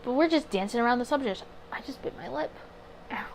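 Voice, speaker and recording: A woman's voice: on the high side, lively intonation, a little fast, slight background noise. A woman doing a casual let's play, reading story text aloud and adding chatty, candid side comments.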